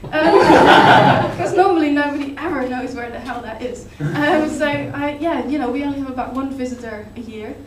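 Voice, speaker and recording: A woman with a Dutch accent performing a rambling, hesitant stand-up set in a deadpan, absurd way. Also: high voice, normal speed, hardly any pauses, echoey room, distant mic, slight background noise. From Netherlands. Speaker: stand-up comedian. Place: comedy club stage. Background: audience laughter.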